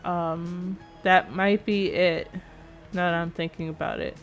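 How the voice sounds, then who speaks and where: A woman's voice: lowish voice, steady tone, unhurried. Chatty young woman, slightly nasal. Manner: chatty, rambling, self-correcting. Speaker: game build creator. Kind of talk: casual voice-over commentary. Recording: faint background music.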